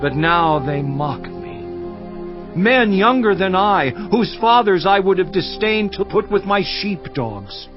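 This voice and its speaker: An actor playing a suffering, once-honored biblical patriarch in a dramatized scripture monologue, theatrical and declamatory with long pauses. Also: male voice, high-pitched, animated, muffled recording, music in the background. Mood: bitter scorn. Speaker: actor in a dramatized audio Bible.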